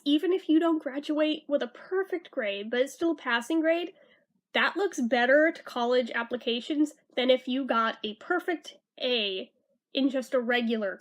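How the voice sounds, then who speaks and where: A young American woman from California with a slight lisp, giving chatty, informal advice on picking high school classes in a vlog. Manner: chatty, informal, self-deprecating. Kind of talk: casual advice talk to camera.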